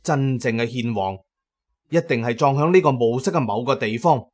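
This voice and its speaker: A man's voice: medium pitch, animated, normal speed. A man giving dramatic first-person narration as the narrator of an audio drama.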